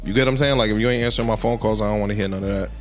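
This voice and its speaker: A man's voice: on the low side, steady tone, racing. A man with an American accent talking in a slangy, conversational way on a podcast panel. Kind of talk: casual podcast discussion. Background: faint music.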